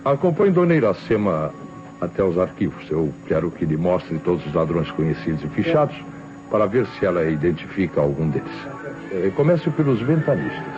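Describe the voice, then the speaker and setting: An actor playing a calm, sceptical Brazilian police inspector in an old radio drama, questioning with deliberate authority. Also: male voice, deep voice. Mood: brisk, commanding. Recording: dull vintage radio recording, faint music.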